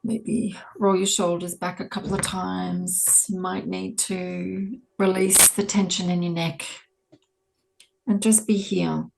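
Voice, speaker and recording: A woman leading a guided meditation, speaking gently and in a measured way with long pauses between soothing instructions. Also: fairly low pitch, flat delivery, creaky, a little hiss or hum, poor audio. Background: soft ambient meditation music.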